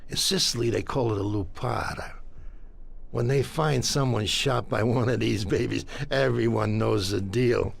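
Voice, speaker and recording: Very gravelly, weary mob armorer. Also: male voice, medium pitch, a little slow, full character voice. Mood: matter-of-fact. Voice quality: gravelly, husky. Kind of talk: dramatic video game cutscene acting.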